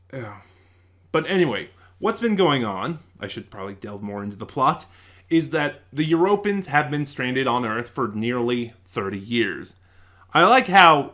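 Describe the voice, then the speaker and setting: A man's voice: mid-range voice, fairly bright tone. Rambling man giving a casual review. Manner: rambling, thinking aloud, self-correcting. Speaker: YouTube audio drama reviewer.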